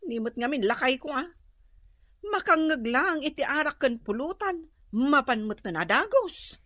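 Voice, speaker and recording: A woman's voice: sits high, sing-song, moderate pace, fairly bright tone, no echo, studio quality. An actress with an Ilocano accent playing an exasperated, worried wife and mother in a radio drama, nagging and plaintive. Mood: complaining.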